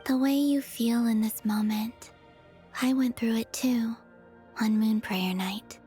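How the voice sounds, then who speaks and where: A woman voicing a gentle, wistful young moon maiden in a video game cutscene, speaking softly and slightly breathily in measured phrases. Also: fairly high pitch, fairly even intonation. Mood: gentle, reflective. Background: background music.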